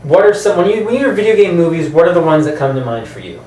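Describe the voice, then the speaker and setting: Man, casual co-host, slightly high voice, informal, roomy distant sound. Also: big pitch swings, fast talker, darkish tone. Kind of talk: casual movie chat. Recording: roomy, distant mic, faint background noise.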